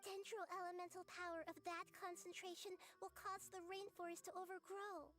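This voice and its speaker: A woman voicing a young girl who is a wise, gentle god, speaking softly and earnestly in a video game. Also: very high-pitched. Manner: soft, thoughtful and earnest.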